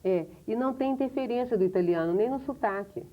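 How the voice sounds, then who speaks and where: A woman from Minas Gerais with a Minas accent, hosting a TV interview and asking chatty follow-up questions. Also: on the high side. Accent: Brazilian Portuguese, Minas Gerais. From Minas Gerais, Brazil. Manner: talkative, quick follow-up questions. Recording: thin phone-like TV audio.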